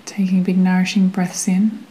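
A woman guiding a meditation in a gentle, soothing way, with a soft, sometimes breathy voice and long pauses between phrases. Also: on the low side, monotone, rough recording. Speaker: meditation guide. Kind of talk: guided meditation narration.

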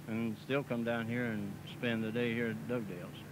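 Plain-spoken farmer, noisy film audio. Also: male voice, medium pitch, little pitch movement. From Missouri, US. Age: middle-aged. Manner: plain-spoken and halting, with frequent "uh" and "see?". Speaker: packing plant representative for a farmers group. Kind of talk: filmed outdoor interview. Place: outdoors by a cattle pen. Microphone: handheld mic held by interviewer. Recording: noisy 1970s 16mm film sound, outdoors.

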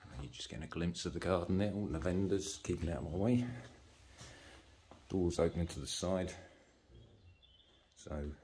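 A man giving a matter-of-fact, halting walk-through commentary as an estate agent, listing features as he moves through a house. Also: low-pitched, warm tone, slight background noise. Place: walking through rooms of a house.

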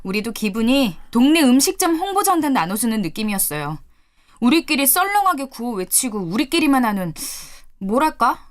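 Woman doing a talkative student activist, higher voice, lively pitch, earnest. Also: moderate pace. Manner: chatty and earnest, speaks up to a senior. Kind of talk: audio drama acting.

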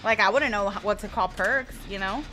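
A woman's voice: medium pitch, brisk, background noise. A woman in her twenties from the United States, chatting casually with her stream viewers and reading their messages aloud while she plays. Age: 20s. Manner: chatty, laughs often, reads chat aloud.

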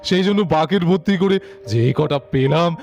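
A man's voice: a little high, normal speed, darkish tone, faint music behind, natural voice. A man voicing a fond, nostalgic old sweetheart in a sentimental, chatty way for a puppet cartoon. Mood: tender, wistful. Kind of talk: Bengali puppet cartoon voice acting.

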